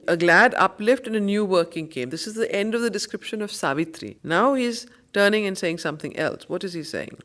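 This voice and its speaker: A woman reciting and explaining spiritual poetry as a study circle teacher, in a reverent, deliberate, emphatic way. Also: on the low side, fairly bright tone. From India.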